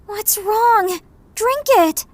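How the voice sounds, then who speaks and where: A woman's voice: extremely high pitch, drawn-out words, no room sound, full character voice. A woman voicing an anxious, stubborn young girl in an emotional, earnest manga dub performance. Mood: frantic, pleading. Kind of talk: anime-style manga dub acting.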